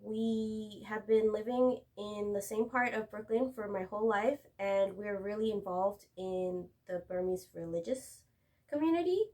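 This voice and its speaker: Young new woman chatting easy, mid pitch, says uh and like a lot. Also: measured pace, low-quality recording. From New York City. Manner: relaxed, uses fillers like "uh" and "like". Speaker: guest. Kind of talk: casual self-introduction and chat.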